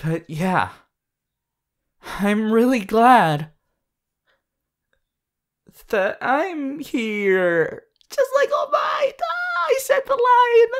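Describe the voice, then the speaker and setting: A woman voicing a sweet, bashful, excitable teenage anime boy in an affectionate, halting ASMR roleplay addressed to the listener. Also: high voice, full character voice. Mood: excited, affectionate. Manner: halting, affectionate, with small hesitations.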